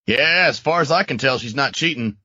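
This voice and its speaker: A man's voice: mid-range voice, animated, brisk, bright, forceful tone, slightly rough, no echo, muffled recording, heavily acted. A man playing an easygoing camp survivor in a comic dub. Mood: casual. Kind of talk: dramatic comic dub voice acting.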